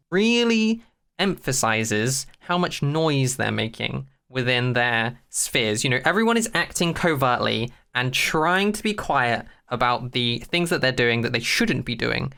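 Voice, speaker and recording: A podcast co-host chatting casually about a TV show in an animated, incredulous, sweary way. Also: mid-range voice, smooth.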